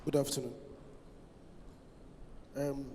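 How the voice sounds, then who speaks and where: Nigerian man from the audience, mellow low tone, formal and proud, echoey conference hall. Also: flat delivery, takes it slowly, a little hiss or hum. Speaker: audience member. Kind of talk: public comment at a forum.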